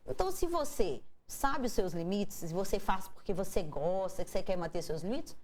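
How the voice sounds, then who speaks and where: A woman's voice: mid-range voice, lively intonation, normal speed, a little mellow, a little hiss or hum. A woman doctor with a Minas Gerais Portuguese accent, explaining health topics in an interview with examples and rhetorical questions. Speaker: doctor guest.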